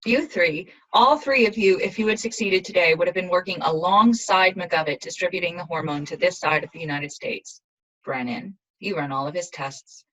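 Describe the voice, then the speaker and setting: A woman's voice: on the low side, normal speed, fairly bright tone, poor audio. A woman playing a resolute young rebel assassin from a dystopian future in an audio drama, speaking in an earnest, intense way. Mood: urgent.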